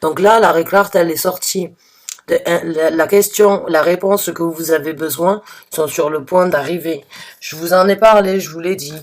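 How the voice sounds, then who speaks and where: A slightly hoarse woman giving a spontaneous tarot reading to her viewers, halting and restarting often, with earnest, reassuring advice. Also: fairly low pitch, steady tone. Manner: hesitant, halting with many restarts and fillers. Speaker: tarot reader.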